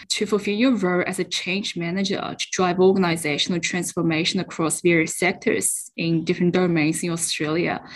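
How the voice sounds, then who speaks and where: A woman host puts her questions in long, formal sentences, choosing elaborate words. Her voice sits a little lower than most women's. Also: poor audio. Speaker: interviewer host.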